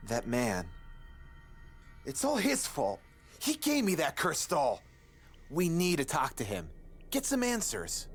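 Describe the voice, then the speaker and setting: A man's voice: on the high side, huge pitch swings, takes it slowly, pauses often, breathy edge, faint music behind, full character voice. An actor playing a shaken, bitter man freed from an animal curse, speaking with dramatic emphasis in a game. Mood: shaken, accusing.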